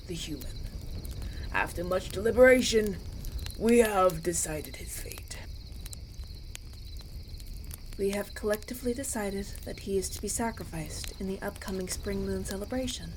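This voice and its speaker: A woman's voice: mid-range voice, darkish tone, slight background noise, lightly acted, poor audio. Stern, solemn village elder, acted by a woman. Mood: grave, resolute. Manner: formal, solemn, declarative. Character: stern, unyielding village elder, coldly devout. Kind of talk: roleplay audio acting.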